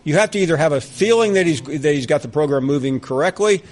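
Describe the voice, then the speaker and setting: Middle-aged Southern male radio host, slightly high voice, lively jokey banter. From American South. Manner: quick, animated, jokey banter with callers. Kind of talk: sports talk radio.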